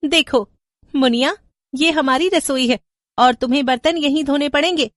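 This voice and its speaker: A woman voicing an excitable, anxious young daughter-in-law in a horror story, with an emotive, exclaiming manner. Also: high voice, normal speed, dry recording, studio quality, pitched above the performer's own voice, close to an everyday voice. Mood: bossy, relieved.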